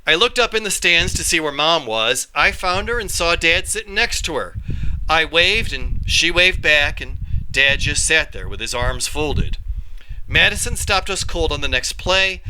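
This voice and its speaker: A man reading a children's story aloud in an expressive, animated storytelling style. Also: on the high side, smooth, rough recording. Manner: animated, acts out dialogue. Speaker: reader, storyteller.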